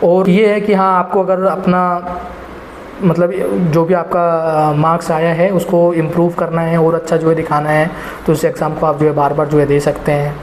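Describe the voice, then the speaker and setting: A man's voice: a little high, flat delivery, moderate pace, a little hiss or hum. A young adult man casually giving exam preparation advice to students, rambling with many filler phrases and addressing viewers as guys. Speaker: exam prep teacher. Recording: echoey room with faint ambience.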